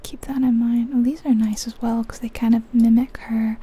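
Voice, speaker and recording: Woman, breathy whisper, very dark soft tone, ASMR game commentary, unhurried musing. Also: on the high side, level tone. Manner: unhurried, softly musing aloud. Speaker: ASMR video creator.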